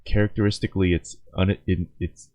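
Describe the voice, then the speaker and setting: A man giving casual, off-the-cuff reaction commentary, halting and rambling as he thinks aloud. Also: sits low, fairly even intonation, darkish tone. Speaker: video creator reacting to a game cinematic. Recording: dull, roomy, echoey home recording.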